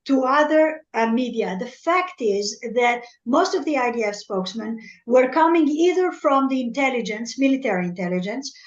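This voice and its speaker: A woman's voice: fairly high pitch, expressive. French-native woman, echoey dull audio. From Algeria. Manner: earnest and measured, often repeating words as she searches for them. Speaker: guest analyst, former military spokesperson.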